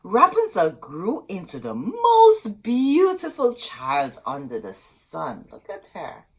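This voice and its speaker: A woman's voice: mid-range voice, wildly swooping pitch, takes it slowly, a little mellow, breathy edge. A woman hosting a children's storytime, reading a storybook expressively and talking directly to young listeners. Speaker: children's storytime host and reader. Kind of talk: children's storybook read-aloud with asides.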